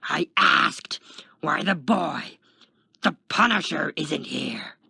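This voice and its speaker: A man reading a story, voicing an arrogant, defensive imprisoned supervillain in a gravelly, strained, pompous voice. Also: a little high, lively intonation, slow pace, no echo, clean studio sound, exaggerated voice. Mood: angry. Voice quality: gravelly, strained fake-tough voice. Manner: pompous, shouting, emphatic.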